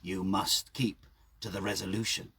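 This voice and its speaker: Man acting out ominous, slow, low-voiced ancient man. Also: level tone, bright, forceful tone, breathy edge, exaggerated voice. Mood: grave, demanding. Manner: slow, low, whispered menace. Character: ancient skeletal old man guarding a well, ominous, demanding. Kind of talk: dramatic storytelling reading.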